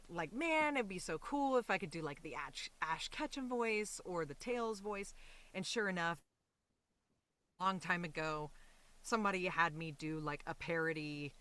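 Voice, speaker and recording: A woman speaking as a voice actor and interview guest, chatting casually in an animated, rambling, reflective way. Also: lowish voice.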